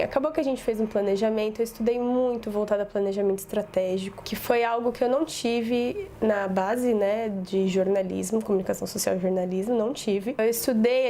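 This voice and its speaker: A woman's voice: on the high side, mellow, a little hiss or hum. Rambling woman telling her story. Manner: rambling, self-correcting, conversational. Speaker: communications business owner and professor. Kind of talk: casual personal storytelling to camera. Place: home office.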